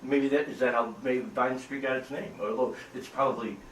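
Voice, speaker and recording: A man's voice: medium pitch, fairly even intonation, moderate pace. An American man asking questions in a casual family interview, with hesitant, loosely phrased wording. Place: echoey room, away from the microphone. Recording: echoey, distant, noisy room.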